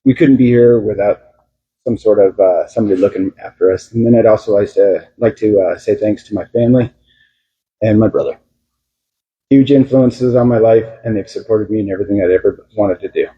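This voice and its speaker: A man's voice: on the low side, brisk, slightly rough, muffled recording. A man who is a law officer giving hesitant, unrehearsed thanks at a ceremony in his honor. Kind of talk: ceremony speech.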